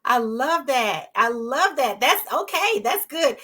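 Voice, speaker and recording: A woman's voice: high voice, very animated, slow pace, pushed and bright. A woman hosting an interview in a casual, affirming way, often repeating her guest's words back. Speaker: interview host.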